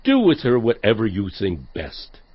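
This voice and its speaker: An actor playing an earnest, troubled patriarch in a dramatized scripture reading, speaking with emotion. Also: male voice, lowish voice, huge pitch swings, normal speed, husky, no room sound, exaggerated voice. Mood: resigned. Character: Abram, earnest, troubled elderly childless patriarch. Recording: slightly dull audio, faint music behind. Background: faint music.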